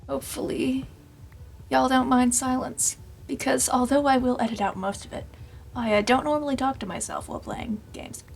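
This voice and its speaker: A woman casually narrating her Minecraft game, rambling and self-deprecating, her voice slightly raspy from long talking. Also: high-pitched, steady tone, moderate pace, soft background music. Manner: rambling, self-deprecating, talks to herself while playing. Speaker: gaming channel host. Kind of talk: casual Minecraft let's play commentary.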